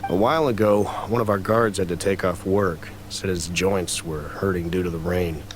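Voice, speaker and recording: A calm, appreciative middle-aged uncle, played by a man in an anime-style game. His lowish voice is genial and unhurried, with faint music underneath. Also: natural voice. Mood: kindly.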